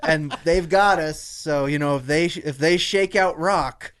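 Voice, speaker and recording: A man on a podcast panel chatting casually and joking in a halting way. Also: fairly high pitch, a bit bright, clear, resonant tone. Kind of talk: casual comedic chat.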